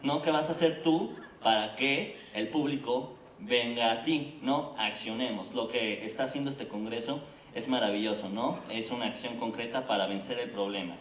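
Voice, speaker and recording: A man with a Mexican Spanish accent makes an earnest, persuasive pitch at a congress and keeps asking the room if it agrees. His voice sits at an ordinary pitch and comes from slightly off the mic in an echoey hall. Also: moderate pace, few pauses, distant mic, slight background noise. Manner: earnest, persuasive, frequent "¿no?" and "¿de acuerdo?". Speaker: conference speaker presenting a theater project. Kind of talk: formal presentation at a congress. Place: conference hall.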